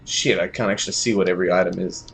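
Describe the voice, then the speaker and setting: A young man with an Australian accent, chatting casually as he plays a game live. His voice sits very low with a gravelly rasp, and he thinks aloud and yelps when the game startles him. Faint background noise hangs behind him. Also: steady tone, quick pace. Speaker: streamer. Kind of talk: casual live gameplay commentary.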